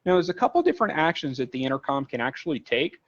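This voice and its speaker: A man's voice: a little high, very animated, fast, dull audio. A man giving a conversational technical product tutorial as a trainer, explaining informally and rambling a little through casual examples.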